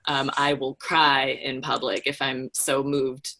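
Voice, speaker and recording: A woman's voice: a bass voice, flat delivery, takes it slowly, gravelly, bright, forceful tone. A woman talking casually about books as a podcast panelist, with an earnest, emphatic manner. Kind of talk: casual book discussion.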